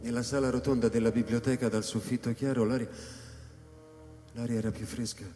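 An actor playing a reflective male scientist who narrates a science-fiction radio drama in measured, deliberate phrases. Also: mid-range voice, flat delivery, dark tone, close to an everyday voice. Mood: reflective, subdued. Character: reflective male scientist recounting life on space station. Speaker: actor narrating as the story's protagonist. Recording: echoey hall reverb under live electronic music.

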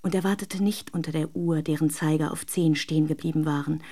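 A woman's voice: low voice, steady tone, brisk, dark tone. A woman acting an intimate radio drama monologue as a dreamy, self-deluding wife who longs for her lover, confiding in careful words. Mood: wistful, composed.

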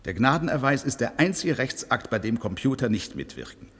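Actor as resigned judge in a reverberant hall. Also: male voice, medium pitch, big pitch swings, slight background noise, lightly acted. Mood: bitter irony. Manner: formal, emphatic, measured. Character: resigned judge, candid and bitter about computerized justice. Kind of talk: German radio play acting.

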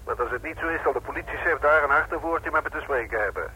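An actor playing a stern, sceptical police commissioner in a radio play, curt and grudgingly official. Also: male voice, on the high side, quick pace, pushed and bright, slight background noise, low-quality recording. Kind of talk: radio drama acting.

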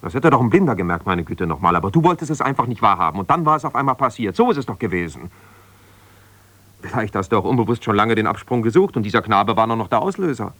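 An actor playing an embittered, self-righteous husband, a lawyer, reproachful and exasperated on one side of a phone call in a naturalistic radio play. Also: male voice, fairly low pitch, sing-song, fast, a bit bright, no room sound. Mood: exasperated, accusing. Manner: defensive, reproachful, exasperated, insistent.

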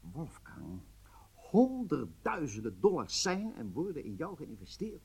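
An actor playing an agitated, businesslike intelligence handler in a radio drama, with halting delivery and emphatic outbursts. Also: male voice, fairly high pitch, huge pitch swings, creaky, darkish tone, slightly rough, exaggerated voice. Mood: stern, upset.

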